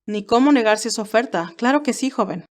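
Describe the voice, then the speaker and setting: Woman putting on an obliging farmer, raised pitch. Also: lively intonation, a little fast, natural voice. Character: obliging male farmer driving a milk cart.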